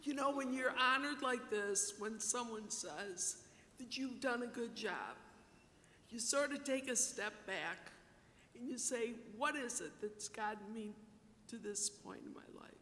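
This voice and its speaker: A woman giving an earnest, reflective award acceptance speech at a banquet, in what she calls her low voice. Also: unhurried, pushed and bright. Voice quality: self-described low voice. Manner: reflective, earnest, with repeated words for emphasis. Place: large banquet hall, in front of a dinner audience. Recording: reverberant hall, distant mic, faint rumble.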